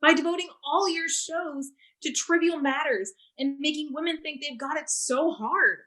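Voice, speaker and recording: An actress playing an earnest, accusing activist who challenges a talk show host, in a staged play reading on a video call. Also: female voice, sits high, normal speed, pushed and bright, poor audio. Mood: challenging. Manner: accusing, insistent.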